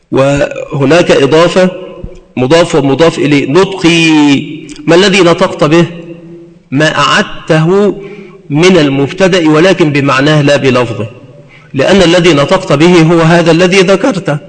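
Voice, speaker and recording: A man lecturing as a grammar teacher, in a didactic manner that repeats phrases for emphasis. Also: on the high side. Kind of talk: lecture explaining grammar with examples. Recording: long hall reverb.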